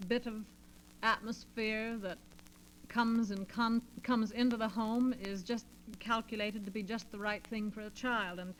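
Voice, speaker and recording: A middle-aged American woman talking conversationally as a radio guest, with measured, deliberate phrasing. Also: a little high. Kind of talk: conversational storytelling and discussion. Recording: noisy, humming 1940s transcription disc.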